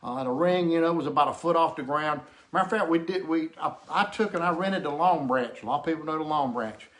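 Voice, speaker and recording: A man's voice: a little high, fast talker, a bit bright. A man telling a casual comic anecdote to camera in a rambling, folksy way, often repeating himself. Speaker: storyteller.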